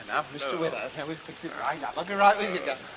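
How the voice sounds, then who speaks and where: A man's voice: a little high, animated, quick pace. A man playing a sneering, mock-polite henchman in an old radio thriller. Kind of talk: 1940s radio acting. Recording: noisy vintage radio drama recording.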